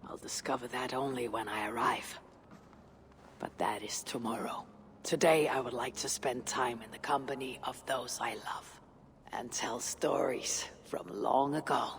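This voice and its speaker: A weathered, reflective Viking warrior woman from a game. She speaks slowly and solemnly in a husky, breathy voice with formal phrasing. Faint noise sits behind her. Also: very deep voice, steady tone, heavily acted. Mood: quiet, reflective. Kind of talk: dramatic video game cutscene acting.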